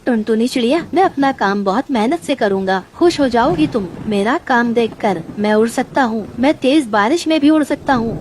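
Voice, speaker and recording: A woman plays a sly, lazy male crow from a cartoon tale. The voice is fairly high and full of greedy, gloating boasts, with faint noise behind it. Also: expressive, normal speed, few pauses, darkish tone, lightly acted. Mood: boastful. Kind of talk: Hindi cartoon story voice acting.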